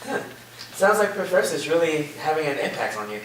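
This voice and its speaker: A man's voice: on the high side, very animated, fairly quick. A man playing a laid-back, agreeable college student who talks casually and cheerfully in a staged reading. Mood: relaxed, upbeat. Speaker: actor in a staged play reading. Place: live staged reading in a reverberant room. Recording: echoey room, distant mic, faint noise.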